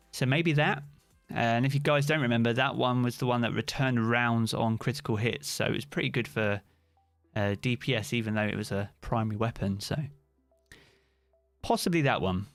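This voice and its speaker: A British man chats loosely with his viewers during a live stream, answering their questions by name. His voice sits at an ordinary middle pitch and is recorded dry and close. Soft music plays faintly underneath. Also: no room sound. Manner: chatty, relaxed, answers chat by name. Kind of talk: casual live-stream chat reading viewer comments.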